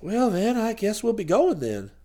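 A man's voice: sits high, very animated, normal speed, mellow. A man voicing a hearty American civic-booster businessman in an audiobook, plain-spoken, emphatic and businesslike. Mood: hearty, then troubled.

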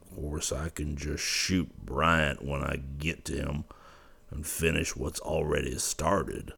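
A man reading a storybook aloud and voicing a gruff, hot-tempered cowboy in a low, drawling, hoarse voice. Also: steady tone, takes it slowly, a bit bright, heavily acted.

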